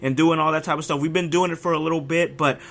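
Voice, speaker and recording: A man hosting a casual podcast chat, sounding raspy from a cold, talking with an animated, hyped-up energy and frequent restarts. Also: a little high, fast. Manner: animated, hype delivery with many restarts. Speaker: podcast host.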